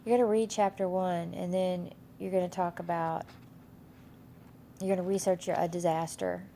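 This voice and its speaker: Woman instructor, stuffy with a cold, gravelly and flat, rambling and full of fillers. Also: lowish voice, normal speed, slight background noise. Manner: rambling with many fillers and restarts. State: has a cold, stuffy nose. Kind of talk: casual screen-share course walkthrough.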